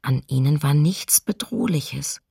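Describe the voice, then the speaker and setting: An actress playing a sober city diarist under occupation, reading testimony plainly and with restraint for radio docudrama. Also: female voice, sits low, a little slow, creaky, dark tone, breathy edge, no echo, studio quality, exaggerated voice. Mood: sober, observant.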